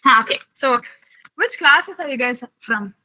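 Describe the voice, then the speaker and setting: Young woman science camp instructor from Chennai, friendly questioning tone, bright tone, laptop mic on a call. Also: high-pitched, lively intonation, rough recording. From Chennai, India. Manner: chatty, asks the children many quick questions. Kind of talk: casual lab tour and chat with children over a video call.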